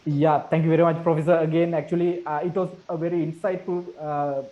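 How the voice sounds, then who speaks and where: A man's voice: fairly high pitch, flat delivery, a little fast, a little hiss or hum. A man moderating a webinar panel, thanking speakers and introducing the next one in a polite, formal way, with fillers. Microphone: video call. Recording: dull lo-fi video call audio.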